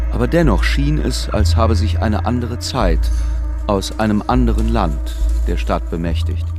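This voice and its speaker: An actor as the narrator of a German radio play, a measured, sombre storyteller reading literary prose. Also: male voice, fairly low pitch. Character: measured, sombre storyteller of a besieged resort town.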